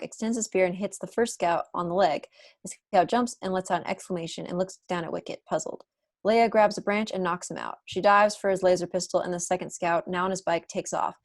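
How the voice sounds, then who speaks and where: Low-voiced woman narrating a script. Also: no room sound, clean studio sound. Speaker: narrator reading stage directions. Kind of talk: scripted narration at a table read. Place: indoor room at home, video call.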